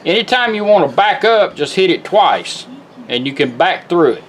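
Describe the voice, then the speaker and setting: A man's voice: high-pitched, very animated, moderate pace, a little hiss or hum. A man giving a casual, hands-on product demonstration, instructing step by step in a folksy, plain-spoken way. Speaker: radio restorer and demonstrator.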